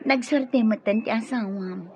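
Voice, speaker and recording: A woman's voice: on the high side, slow pace. A coy, scheming young Ilocano woman. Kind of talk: emotional radio drama acting. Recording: dull lo-fi radio sound, music behind.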